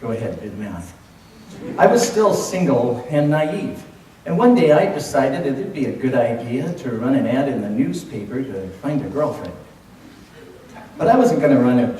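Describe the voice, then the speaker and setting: A man of fifty with a Californian accent gives a humorous storytelling speech in a mid-range voice. He leans on dramatic pauses and emphasis. He is heard from far off in a strongly reverberant room, with faint noise behind him. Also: medium pitch, animated, mellow. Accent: Californian American. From Los Angeles, United States. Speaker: speech contest contestant. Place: indoor room at a speech contest, audience present.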